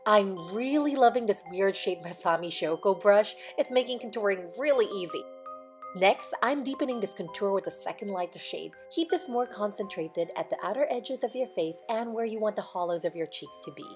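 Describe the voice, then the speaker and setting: Hoarse woman narrating a makeup tutorial. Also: medium pitch, lively intonation, normal speed, music in the background. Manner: step-by-step instructive, chatty. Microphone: close voiceover mic.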